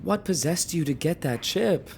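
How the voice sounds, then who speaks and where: A man's voice: a little high, lively intonation, moderate pace, gravelly, darkish tone, a little hiss or hum. A man playing a distressed, indignant lover who feels trapped, incredulous and accusing, in an audio drama. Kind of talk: naturalistic audio drama acting.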